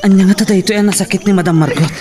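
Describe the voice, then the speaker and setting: An actor with an Ilocano accent playing a humble, polite driver who speaks hesitantly and deferentially in a radio drama. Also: male voice, sits high, steady tone, very fast, distant mic, close to an everyday voice. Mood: worried. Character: humble male driver, shy, polite, earnest.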